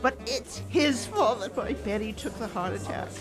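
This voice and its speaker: A distraught old widow played by a woman, superstitious and pleading. Her high voice swings widely and slowly through melodramatic radio-play lines, with music playing behind her. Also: expressive, natural voice. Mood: desperate, accusing.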